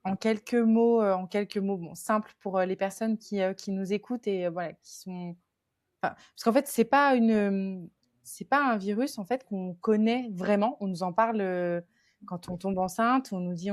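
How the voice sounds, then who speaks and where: A woman's voice: medium pitch, moderate pace, darkish tone, no room sound, clean studio sound. A woman hosting a podcast in casual chat, talking with many fillers and restarts. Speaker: podcast host.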